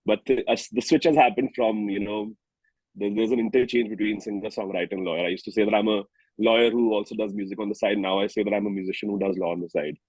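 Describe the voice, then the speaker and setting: Low-voiced Indian man, slightly husky. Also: fairly even intonation, fast, darkish tone, no room sound, low-quality recording. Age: 30s. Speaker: singer-songwriter and musician interview guest. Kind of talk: casual interview chat.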